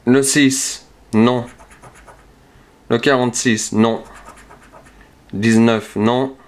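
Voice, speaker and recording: A man calmly talks through each number as he scratches lottery tickets, casual and methodical. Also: medium pitch, drawn-out words. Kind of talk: casual narration while scratching cards. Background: scratching sounds.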